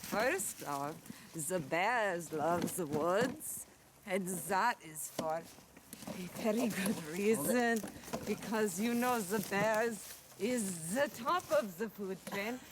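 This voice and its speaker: A woman's voice: medium pitch, expressive, takes it slowly, a crowd in the background. A woman playing a Russian carer, deferential yet stubborn, with a rambling, emphatic and formal way of talking in improvised comedy. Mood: earnest, reassuring. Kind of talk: improvised comedy role-play.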